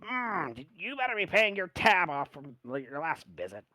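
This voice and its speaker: A sharp-tongued little gnome barkeep woman, as a man puts her on, nagging and teasing in a raised, lifted voice. It sounds dry and close. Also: a little high, very animated, moderate pace, dry recording, pitched above the performer's own voice.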